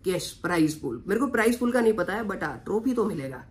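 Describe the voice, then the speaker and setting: A man's voice: sits high, big pitch swings, quick pace. A young man with a sore throat, chatting and joking casually while vlogging a gaming event. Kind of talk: casual vlog chat. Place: busy event hall. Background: crowd chatter.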